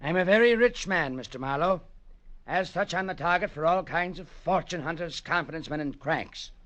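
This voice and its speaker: An actor playing a wealthy, sour, pompous old uncle with a disdainful, sarcastic manner in vintage radio-drama style. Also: male voice, a little high, moderate pace, fairly bright tone, close to an everyday voice. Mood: haughty. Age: older. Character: rich, haughty, sour, suspicious old uncle. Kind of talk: 1940s radio acting.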